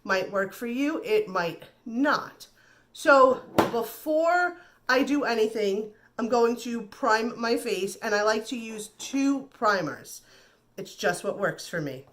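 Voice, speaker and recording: A woman's voice: on the high side, animated, slow, fairly bright tone, a little hiss or hum. A woman with a slightly nasal voice, getting over the flu, chatting casually and reassuringly through a makeup tutorial. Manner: chatty and reassuring, repeats words. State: recovering from flu. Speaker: beauty vlogger.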